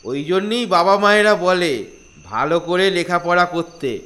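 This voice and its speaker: A man's voice: sits high, animated, normal speed, pushed and bright. A man voicing an elderly father in a comedy cartoon, sentimental and theatrical, both scolding and doting. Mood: fatherly, proud. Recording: faint music behind.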